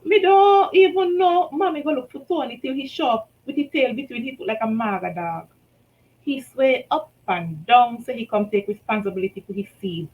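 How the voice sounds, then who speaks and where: A Vincentian woman reading her own short story aloud, telling it in rhythmic Creole with lively shifts in loudness. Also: on the high side, moderate pace, narrow, tinny audio. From St. Vincent and the Grenadines.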